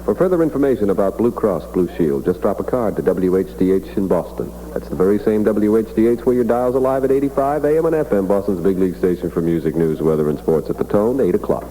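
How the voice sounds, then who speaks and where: A man's voice: fairly low pitch, animated, quick pace, hardly any pauses, warm tone, slight background noise, poor audio. A man hosting a radio show in a casual, wryly self-mocking comic announcing style, on an old 1960s AM broadcast. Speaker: radio host.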